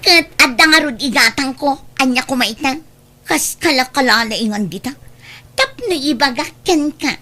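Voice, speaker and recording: A very high, sharp-voiced actress playing a snooty young cousin in an Ilocano radio drama. She has an Ilocano accent and a spiteful, superior air. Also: animated, moderate pace, exaggerated voice. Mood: haughty, spiteful. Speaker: voice actor.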